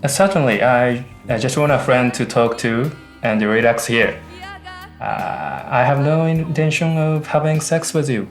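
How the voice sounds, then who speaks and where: A man playing a gentle, hesitant Japanese soldier in a radio drama. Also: medium pitch, unhurried, soft background music, close to an everyday voice. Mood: hesitant, shy. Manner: hesitant, polite.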